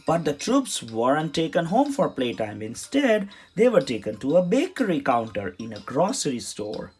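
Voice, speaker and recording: A man's voice: fairly high pitch, huge pitch swings, moderate pace, a little mellow, husky. A man narrating a children's storybook as a read-aloud. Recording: music behind the voice.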